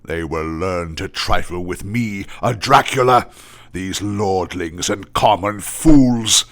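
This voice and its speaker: A man's voice: deep voice, slow, a bit bright. A man playing an imperious vampire lord in a radio play, threatening in a theatrical manner. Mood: menacing.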